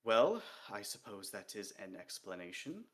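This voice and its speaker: A man reading a calm, sing-song dwarf king. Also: lowish voice. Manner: comfortable, sing-song, unhurried. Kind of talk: reading a novel aloud.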